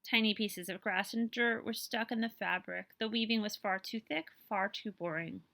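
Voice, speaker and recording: A woman librarian reading a picture book aloud to children, with expressive storytelling and explanatory asides and questions for her listeners. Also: mid-range voice, vocal fry. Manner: expressive storytelling, pausing to check understanding with listeners.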